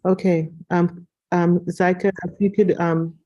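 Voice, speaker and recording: A woman's voice: lowish voice, flat delivery, measured pace, lo-fi sound. A woman moderating an online meeting, chatting informally while she sorts out audio problems. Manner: chatty, handling tech problems.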